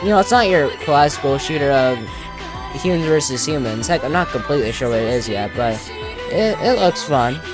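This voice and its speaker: A woman's voice: low voice, lively intonation, fairly quick. A woman giving casual, playful gameplay commentary as a video creator, with jokey, mock-dramatic asides. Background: music.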